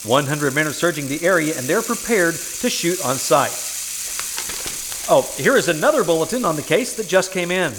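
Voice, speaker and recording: A man playing a radio announcer in the 1950s manner, pitched high and swinging up and down with emphasis. He is formal and polished, over a faint hiss from a live recording. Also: animated, normal speed, close to an everyday voice. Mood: grave urgency.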